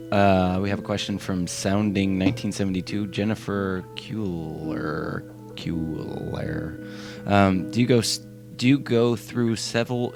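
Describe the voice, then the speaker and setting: A man from Ohio hosting a casual interview, easygoing and stumbling a little as he reads out listener questions. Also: low-pitched.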